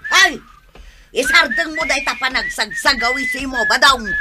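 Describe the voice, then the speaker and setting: A woman's voice: high-pitched, measured pace, heavy background noise. A woman with an Ilocano accent acting an exasperated, scolding village woman in a comedy drama. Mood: annoyed, scolding.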